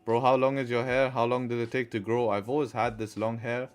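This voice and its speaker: A man's voice: mid-range voice, little pitch movement, brisk, faint music behind. A man hosting a live trading stream, talking through charts and answering chat with repeated rhetorical questions, with a mixed, partly Arabic-sounding accent. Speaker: trading livestream host.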